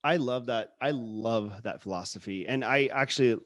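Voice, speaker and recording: A man's voice: mid-range voice, moderate pace, a bit bright, no echo, studio quality. A man who grew up in Utah, hosting a casual interview podcast, telling stories earnestly and with enthusiasm, with frequent "like" and restarts. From Utah, born in India. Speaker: podcast host.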